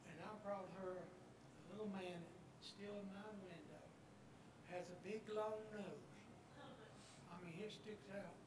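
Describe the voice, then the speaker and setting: A man in a church class slowly telling a personal story. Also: high-pitched, fairly even intonation. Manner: slow, storytelling. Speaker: class member. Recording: echoey room, distant mic, noticeable noise.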